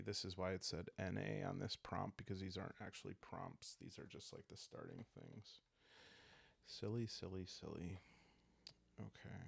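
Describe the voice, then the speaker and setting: A man with a calm, creaky voice hosting a relaxed solo roleplaying game, musing aloud in an unhurried, hesitant way. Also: sits low, no echo. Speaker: host of a solo roleplaying playthrough. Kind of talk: relaxed ASMR game narration, thinking aloud.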